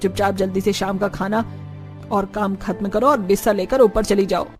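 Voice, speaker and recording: A woman's voice: medium pitch, steady tone, moderate pace, soft background music, close to an everyday voice. A woman voicing a strict, bossy older village mother-in-law in a cartoon, commanding and dismissive.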